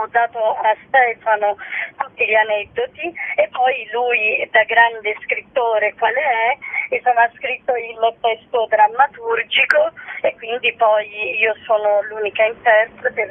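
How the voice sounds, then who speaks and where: A woman from Sicily, an actress, chatting hesitantly as a guest in a casual interview, pausing mid-phrase. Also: on the high side. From Sicily, Italy. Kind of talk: casual promotional radio interview. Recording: band-limited phone-like radio line.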